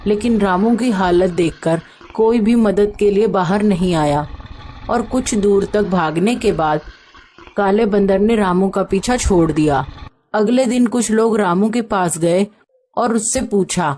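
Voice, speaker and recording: A woman's voice: medium pitch, unhurried, warm tone, slight background noise. Woman narrating a scripted story.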